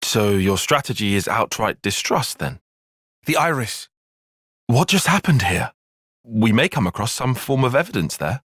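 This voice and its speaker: Precise young British tactician. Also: male voice, mid-range voice, big pitch swings, fairly bright tone, breathy edge, no room sound. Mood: measured, dry. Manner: measured, formal, articulate, dry. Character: young male tactician soldier, bookish, cautious, precise, exasperated. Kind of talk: video game cutscene voice acting.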